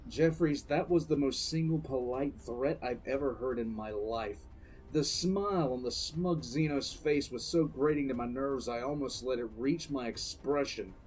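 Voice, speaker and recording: A man playing a contemptuous military commissar who tells his own story in grim, deliberate dramatic narration. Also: medium pitch, a little mellow, faint music behind, close to an everyday voice, poor audio. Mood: grim disdain. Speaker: narrator and lead character. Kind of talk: first-person dramatic audio drama narration.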